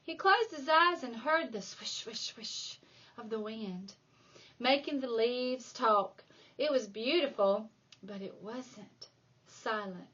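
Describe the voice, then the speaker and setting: A woman reading a children's storybook aloud like a primary school reader, with dramatic emphasis and drawn-out key words. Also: on the high side, bright, forceful tone, muffled recording. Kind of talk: expressive children's storybook narration.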